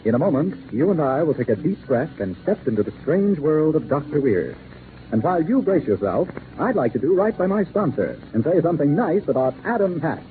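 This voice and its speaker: An American man announcing in 1940s radio style, giving a polished sponsor pitch. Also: big pitch swings, fairly quick, soft background music. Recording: narrow-band 1940s radio recording, faint noise.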